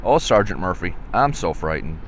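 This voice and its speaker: A man's voice: low-pitched, very animated, moderate pace, slight background noise. A man voicing a nervous, frightened female hippo in a children's storybook. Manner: nervous, fretful.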